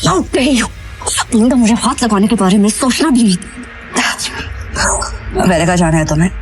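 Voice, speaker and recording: A woman's voice: medium pitch, expressive, normal speed, darkish tone, faint music behind, lightly acted. An actress playing a haughty young rich businesswoman in a Hindi audio drama, cutting and contemptuous, mixing in English. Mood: angry scorn.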